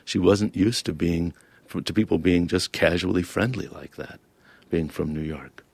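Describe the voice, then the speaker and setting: A man's voice: deep voice, a little slow, vocal fry, a little mellow, dry recording. A man, born in Lebanon and raised in Ohio, reading his personal essay and then answering interview questions in a thoughtful, wry, self-questioning way. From Lebanon-born, raised in Toledo, Ohio. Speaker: author and interview guest.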